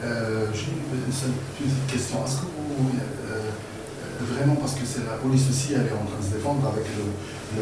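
A male journalist asking hesitant, halting questions at a press event. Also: medium pitch. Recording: echoey and distant, noisy.

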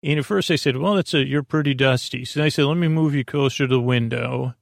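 Creaky-voiced man, rambling. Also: mid-range voice, dry recording, studio quality. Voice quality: creaky, gravelly. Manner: meandering, halting, repeats and trails off, whimsical. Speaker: host of a bedtime sleep podcast. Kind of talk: rambling bedtime podcast monologue.

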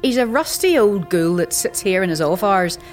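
A woman's voice: medium pitch, lively intonation, moderate pace, soft background music, lightly acted. A woman playing a sardonic older seer and teacher in a fantasy audio drama, dry, wry and gossipy. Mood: mocking, sardonic. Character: sardonic older female undead-philosophy tavern seer and teacher.

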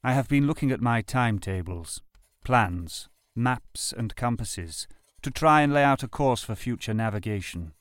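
A man reads old personal letters aloud in a deliberate, expressive and formal way. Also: mid-range voice, clear, resonant tone, no echo. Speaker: reader of historical letters. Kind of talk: dramatic reading of old love letters.

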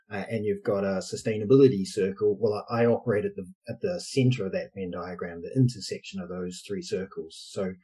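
A man's voice: on the low side, mellow, husky, rough recording. A man from New Zealand with a New Zealand accent, a basketball coach and social entrepreneur explaining his work in a podcast interview, thoughtful with many fillers.

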